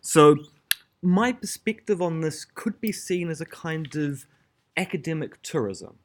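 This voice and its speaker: A man's voice: on the high side, animated, slight background noise. New Zealand man giving a philosophy talk. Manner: measured, dry humor, frequent "so" and "uh". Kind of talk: academic lecture.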